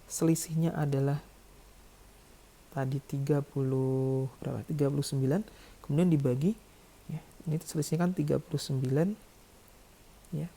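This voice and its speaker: Tutorial lecturer, very mellow and dark, gravelly edge, explains step by step. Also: mid-range voice. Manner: didactic, frequent check-in tags. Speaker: accounting lecturer. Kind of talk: tutorial narration, working through figures aloud.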